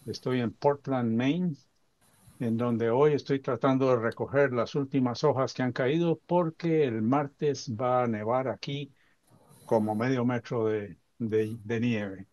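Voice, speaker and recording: A man's voice: medium pitch, fairly even intonation, measured pace, darkish tone, dry recording. A man in his seventies from Costa Rica introducing himself on a video call, rambling with many hesitations. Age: 70s. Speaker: seminar participant. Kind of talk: casual self-introduction.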